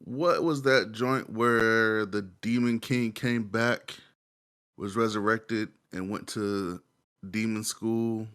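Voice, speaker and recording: A man with a fairly low voice talks as a panelist on a casual show. He rambles informally, dropping slang and swear words as he goes. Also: steady tone, takes it slowly, fairly bright tone, clear, resonant tone. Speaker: podcast panelist reviewing shows.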